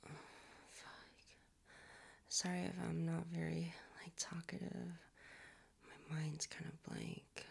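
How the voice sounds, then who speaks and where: A young man whispering softly into a close mic, his voice raspy and a little hoarse from a recent cold. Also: on the high side, level tone. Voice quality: raspy, strained.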